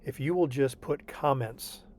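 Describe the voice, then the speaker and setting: A man's voice: medium pitch, unhurried, a little mellow, a little hiss or hum. Patient tutor man checking in often. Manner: patient and reassuring, checks in with frequent "okay?". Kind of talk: online tutoring session walking through homework.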